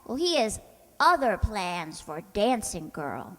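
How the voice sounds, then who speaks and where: A woman's voice: mid-range voice, sing-song, takes it slowly, a bit bright, full character voice. A woman playing a sweet, naive, scatterbrained young secretary in an old-time radio comedy, guileless and earnest. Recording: reverberant hall, faint noise.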